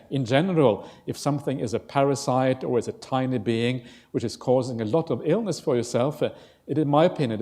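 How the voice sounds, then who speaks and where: A man's voice: medium pitch, warm tone, big-room sound. A man teaching as a Buddhist monk in a workshop discussion, reflective and hesitant, with many fillers and open questions. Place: Buddhist centre hall.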